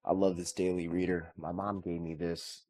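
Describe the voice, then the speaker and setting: A man's voice: sits low, moderate pace. A man in his thirties talking casually and earnestly about his morning routine and faith. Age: 30s. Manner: earnest, relaxed, conversational with frequent restarts. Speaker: vlogger.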